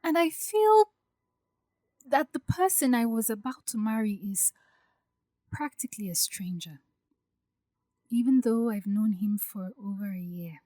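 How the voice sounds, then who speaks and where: A woman playing an anxious, hurt young bride-to-be in an audio drama, speaking softly and hesitantly as she confides her doubts. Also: fairly high pitch, expressive, a little slow, pauses often, dry recording. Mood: vulnerable, reflective. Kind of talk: acted audio drama dialogue.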